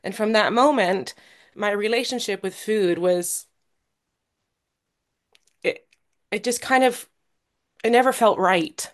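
A woman tells her personal story to a recovery group in an earnest way. She sounds a little nervous, pausing often, trailing off and starting her sentences again. Her voice sits at an ordinary middle pitch for a woman. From Canada. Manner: halting and earnest, with many pauses and restarts. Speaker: guest speaker at a recovery meeting.

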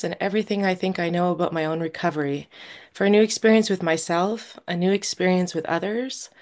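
A woman speaking as the guest at a recovery meeting, sharing her own story in a halting, earnest way with many pauses and restarts, and a little nervous. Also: lowish voice. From Canada. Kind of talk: personal recovery share.

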